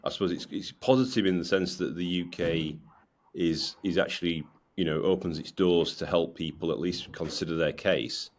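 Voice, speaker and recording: A man's voice: deep voice, fairly even intonation, moderate pace. A man hosting a podcast interview, from the UK, who repeats his words and restarts his questions often. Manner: stammering repeated starts. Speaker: podcast host.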